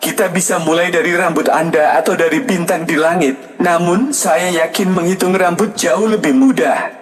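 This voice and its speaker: A man's voice: high-pitched, moderate pace, voice pushed up, lightly acted. A clever, composed court wit acted out by a male narrator speaks with courteous assurance. It is a storybook figure, heard with long reverb and music behind. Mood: calm, teasing.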